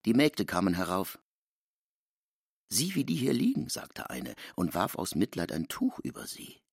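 A man narrates literary prose with lively, varied intonation. His medium-pitched voice has a creaky, gravelly edge, recorded close and dry. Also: a little slow, no echo. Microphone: close, dry studio microphone.

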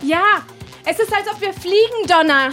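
Excitable female salon client, acted out by a woman, piercingly high, swooping, drawn-out melodramatic delivery. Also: faint music behind. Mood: dreamy, elated. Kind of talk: radio play acting.

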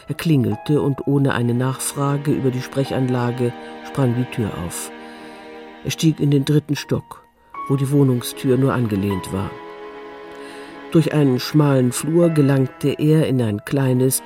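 A man delivers scripted narration for a radio crime drama. His voice sits at a medium pitch, with music playing clearly behind him.

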